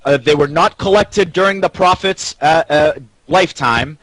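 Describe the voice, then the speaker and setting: A man's voice: a little high, normal speed, a bit bright, no room sound, poor audio. A man debating as a panellist in unscripted talk, argumentative, often stammering and repeating himself as he presses his point.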